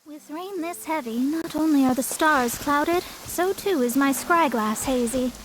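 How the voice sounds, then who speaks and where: A woman's voice: sits high, measured pace, a little hiss or hum. A woman voicing a solemn young astrologer who speaks in a lofty, poetic way. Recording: heard from a distance.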